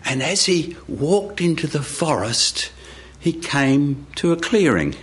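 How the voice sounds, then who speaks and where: A male storyteller performs live in deliberate, short phrases. His pace is unhurried and his pitch swoops widely, giving the tale a dramatic shape. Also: big pitch swings, breathy edge. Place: radio studio with live audience.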